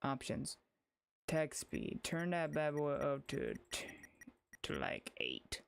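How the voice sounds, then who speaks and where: A woman's voice: deep voice, huge pitch swings, slow pace, creaky, slight background noise. A woman game streamer playing a visual novel and reading its text aloud. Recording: music behind the voice.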